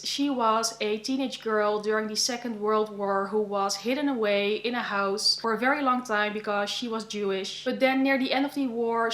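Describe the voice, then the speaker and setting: A woman's voice: a little high, fairly bright tone. Young Dutch woman recommending books, echoey room. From Netherlands. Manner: chatty, earnest, thinks aloud with "yeah" and "you know". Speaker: book vlogger. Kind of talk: casual book recommendations to camera.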